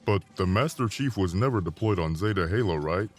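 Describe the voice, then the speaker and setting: A man's voice: deep voice, animated, a little fast, soft background music. A man playing an uncertain, questioning marine in an audio drama.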